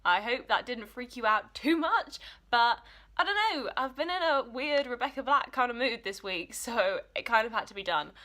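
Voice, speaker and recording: A woman's voice: fairly high pitch, pushed and bright. A young woman in her twenties giving enthusiastic, informal recommendations in a casual, chatty vlog style. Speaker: book vlogger.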